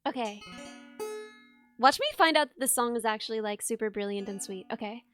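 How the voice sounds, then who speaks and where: A young woman streamer chatting casually, hoarse and losing her voice, rambling and giggling at herself. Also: a little high, brisk, fairly bright tone. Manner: rambling, giggly, self-mocking. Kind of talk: casual chat with live chat. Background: music playing.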